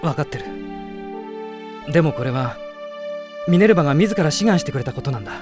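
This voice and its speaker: Man acting a calm, earnest young prince. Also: a little high, close to an everyday voice. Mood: resolute. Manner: soft-spoken, measured, earnest. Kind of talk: radio drama acting. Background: music.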